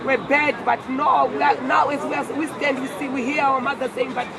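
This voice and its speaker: A tired woman, speaking haltingly and earnestly in an outdoor interview. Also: sits high, little pitch movement. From Zimbabwe. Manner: halting, earnest, repeats words. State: hoarse and tired. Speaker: walk organizer being interviewed. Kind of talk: emotional street interview. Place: outdoors outside an embassy among a crowd. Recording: loud crowd noise outdoors.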